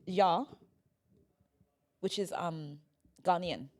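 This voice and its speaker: A woman's voice: lowish voice, very slow. A woman hosting a podcast in casual comedic chat, with a playful, teasing, mock-earnest way of talking. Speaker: podcast host.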